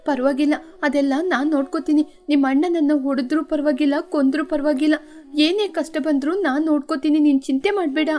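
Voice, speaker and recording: A woman's voice: high-pitched, fairly even intonation, a little fast, a little mellow, close to an everyday voice. A woman voicing a kind, devoted young wife who talks in an earnest, anxious way. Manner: earnest, stammering when afraid.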